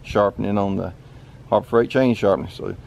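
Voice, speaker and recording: A man's voice: lowish voice, steady tone, a little fast, creaky, a little mellow. A man in his thirties with a Southern US accent, hosting a casual outdoor vlog in a folksy, easygoing way with plenty of fillers. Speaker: channel host. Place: outdoors in a wooded yard.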